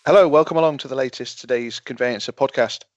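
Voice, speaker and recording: A man hosting an interview podcast, chatting in a friendly way and trailing off quietly at the ends of phrases. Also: mid-range voice, fast, no room sound. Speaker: podcast host. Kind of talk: conversational interview. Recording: dull, dry lo-fi sound.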